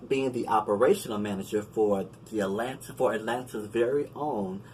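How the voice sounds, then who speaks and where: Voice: medium pitch, normal speed, a little mellow. An interview host asking questions in a casual, playful way and affirming the guest often. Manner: friendly, playful, lots of affirming mm-hmms. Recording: distant mic with light background noise.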